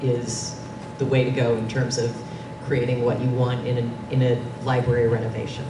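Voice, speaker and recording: A woman's voice: rumbling low, little pitch movement, moderate pace. A middle-aged woman giving an informal, conversational presentation on a panel in a meeting room. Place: dim conference meeting room. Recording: distant, echoey, noisy room recording.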